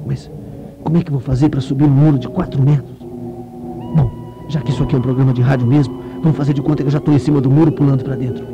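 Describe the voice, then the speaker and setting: An actor playing an eager, ambitious, excitable reporter who talks colloquially to himself in a comic radio play. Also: male voice, medium pitch, racing, muffled recording, background noise, lightly acted. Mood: excited, sneaking.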